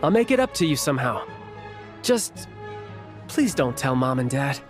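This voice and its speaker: A man's voice: mid-range voice, very animated, normal speed, faint music behind, natural voice. A man voicing a cheerful, teasing young big brother in a game cutscene, breezy and familiar. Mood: apologetic. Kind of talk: animated game cutscene acting.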